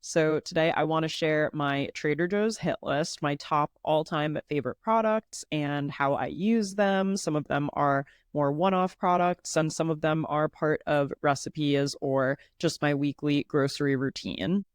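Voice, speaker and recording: A woman's voice: sits low, a little slow, hardly any pauses, clean tone, no room sound, studio quality. A woman in her thirties hosting a casual solo podcast, chatty and upbeat, sounding a little congested. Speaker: podcast host.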